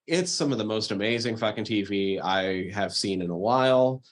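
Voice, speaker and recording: A man with a slightly low voice hosts a casual talk podcast. He is emphatic and enthusiastic in how he recommends things. His language is loose and sweary. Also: normal speed. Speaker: podcast host. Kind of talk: casual chat, giving recommendations.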